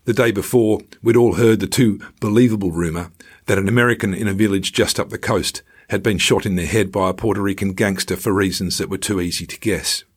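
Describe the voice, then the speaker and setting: A man narrates a written travel story in a low voice. His delivery is measured, like an author reading his own memoir, with a dry, ironic edge. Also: fairly even intonation.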